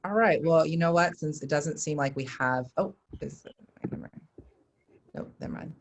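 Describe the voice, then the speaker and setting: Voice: medium pitch, normal speed, slight background noise. A person chatting casually and hesitantly on a video call, rambling a little while moderating questions. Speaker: moderator and theater collaborator. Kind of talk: casual chat.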